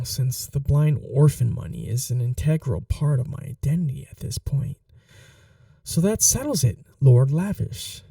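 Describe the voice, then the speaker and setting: Chatty, vain male supervillain, played by a man, smitten and casually menacing, unhurried and gravelly, ASMR roleplay. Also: mid-range voice, dark tone, no room sound, clean studio sound, far from the mic. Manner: flirtatious, casually menacing, musing aloud. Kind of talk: ASMR roleplay monologue to the listener.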